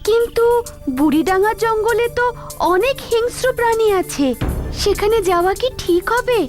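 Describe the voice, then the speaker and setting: A cautious cartoon schoolgirl, tiny high voice, sensible, questioning, soft music underneath. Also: normal speed. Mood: doubtful. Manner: questioning, level-headed. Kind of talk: cartoon dub acting.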